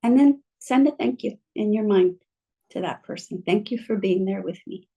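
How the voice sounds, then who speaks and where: A woman with a mellow, relaxed voice speaks slowly and gently. She guides her listeners through a calming reflection. She is heard over a video call. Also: medium pitch, vocal fry, breathy edge. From mother from Mexico, bilingual. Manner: gentle, slow, soothing guidance. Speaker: guest speaker.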